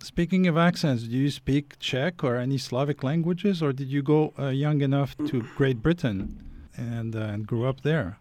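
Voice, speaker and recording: A man's voice: mid-range voice, moderate pace, darkish tone, a little hiss or hum. A man hosting an interview who praises his guests' work before asking his questions. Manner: appreciative, leads with praise. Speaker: interview host.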